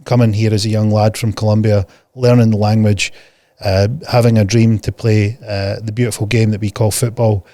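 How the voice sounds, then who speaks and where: A man's voice: fairly low pitch, level tone, normal speed, warm tone, no room sound. A man hosting a podcast, introducing and questioning a guest in a conversational interview style. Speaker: podcast host.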